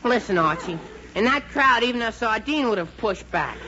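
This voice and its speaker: A man's voice: high voice, animated, moderate pace, bright, forceful tone. A man playing a droll, complaining teenage boy sidekick with an American accent in a 1940s radio comedy. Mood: whiny. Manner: gee-whiz complaining, wisecracking. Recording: muffled, hissy 1940s radio recording.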